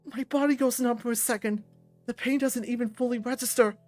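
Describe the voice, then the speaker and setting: A woman's voice: on the high side, steady tone, fairly quick, a little mellow, soft background music. A woman reading a visual novel aloud as dramatic scripted narration. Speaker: visual novel reader and voice performer.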